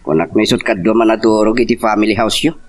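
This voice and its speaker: A man with an Ilocano accent and a husky voice acting a nosy, teasing friend in an audio drama. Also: lowish voice, quick pace, close to an everyday voice. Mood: scolding, teasing.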